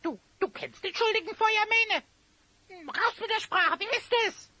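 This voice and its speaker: A woman voicing a small, excitable, curious sidekick creature with quick, squeaky exclamations in a radio play. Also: dry recording, full character voice. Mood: excited, insistent. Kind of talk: German radio play acting.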